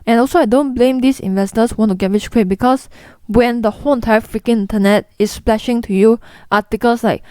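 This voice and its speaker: A woman's voice: fairly high pitch, fairly even intonation, fairly quick, dark tone, no room sound. Blunt Singaporean woman vlogger, dry sound. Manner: blunt, chatty, mimics people in quotes. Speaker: investing vlogger. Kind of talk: direct-to-camera explainer.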